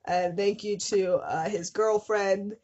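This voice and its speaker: A young woman hosting a casual comedic reading of fan fiction, amused and often breaking off with mock-suffering asides in a slightly husky voice. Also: mid-range voice, steady tone, slow, a little mellow, dull audio. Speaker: host of a small channel.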